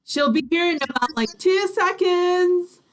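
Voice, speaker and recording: A woman's voice: very high voice, unhurried, heavy background noise. A woman hosting an online radio show, chatting casually and enthusiastically with her guest. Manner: enthusiastic, gushing. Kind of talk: casual interview chat.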